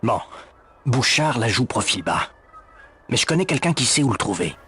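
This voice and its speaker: A man's voice: medium pitch, sing-song, moderate pace, sharp tone. Man playing wary, swooping-voiced café manager. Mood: uneasy, evasive. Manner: guarded, sardonic, hesitant. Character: wary, evasive, shifty male Paris café manager. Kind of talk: video game fan dub acting. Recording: faint background noise.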